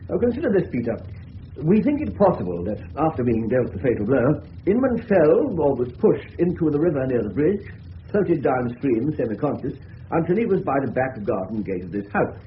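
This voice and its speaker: An actor playing an assured, persuasive English colonel in a radio drama. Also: male voice, mid-range voice, sing-song, brisk, lightly acted. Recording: muffled, faintly noisy 1970s radio recording.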